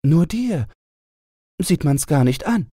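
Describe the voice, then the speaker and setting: A man's voice: a little high, big pitch swings, slow pace, warm tone, husky, no room sound, clean studio sound, voice pushed up, exaggerated voice. A man voicing a cheerful young fairy-tale princess with playful, naive, bossy chatter. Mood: amused, chattering.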